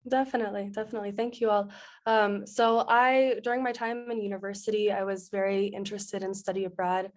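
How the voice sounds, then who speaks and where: Brief young woman panelist, flat even delivery, video call. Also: mid-range voice, brisk. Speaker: panelist, former teaching assistant abroad. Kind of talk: answering on a panel.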